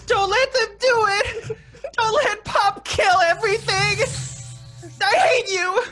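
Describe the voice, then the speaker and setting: A shrill, bratty young boy. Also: slow pace, a bit bright, soft background music, heavily acted. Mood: hysterical. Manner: shrill, wailing, demanding.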